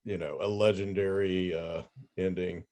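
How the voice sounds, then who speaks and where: A man speaking as an editor and interviewer in casual, halting discussion. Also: sits low, steady tone, warm tone.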